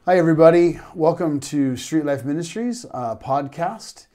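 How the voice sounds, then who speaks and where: A man's voice: a little high, expressive, moderate pace, mellow. An American man hosting a podcast, asking questions in a casual interview style.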